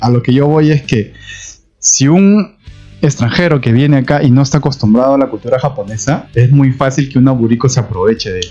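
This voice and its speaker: A Peruvian man co-hosts a relaxed podcast chat with a mid-range voice. He overlaps the others and keeps chiming in to agree. The audio is a little dull, with faint music underneath. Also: medium pitch, brisk. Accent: Peruvian Spanish. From Peru. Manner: talks over others, with frequent agreeing interjections. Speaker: podcast co-host. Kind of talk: casual chat.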